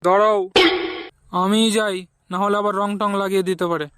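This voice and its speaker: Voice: mid-range voice, level tone, fairly quick, a bit bright, clear, resonant tone, dry recording, clean studio sound, close to an everyday voice. A performer voicing an irritable, foul-mouthed householder in a comedy cartoon. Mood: wary. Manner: slangy, exclamatory, blunt. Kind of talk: Bengali comedy cartoon dialogue. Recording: very noisy track with clicks.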